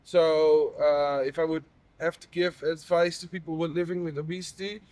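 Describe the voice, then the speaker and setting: A man's voice: a little high, flat delivery, a little mellow. Hesitant man in his thirties. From Netherlands. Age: 30s. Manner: halting, many fillers and restarts. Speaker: patient advocate interviewer. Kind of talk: personal conversation.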